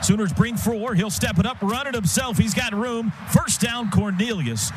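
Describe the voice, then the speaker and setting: American male radio play-by-play announcer, fairly high voice, clipped action calls, heavy stadium din behind. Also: lively intonation, moderate pace. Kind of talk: live American football radio commentary. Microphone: broadcast booth microphone. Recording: loud stadium noise behind the voice. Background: loud outdoor stadium ambience.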